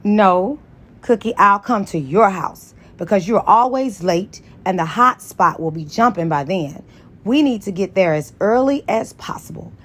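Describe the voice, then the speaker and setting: A woman voicing a sassy, sharp-tongued young female school nurse in an audiobook, animated and slangy. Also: medium pitch, a little slow. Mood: eager, bossy. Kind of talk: dramatized audiobook dialogue.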